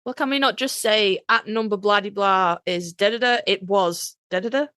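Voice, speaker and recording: A woman's voice: medium pitch, normal speed, pushed and bright, smooth, no room sound, studio quality. A woman co-hosting a podcast in casual chat, with a playful, bantering, self-deprecating manner. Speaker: podcast co-host.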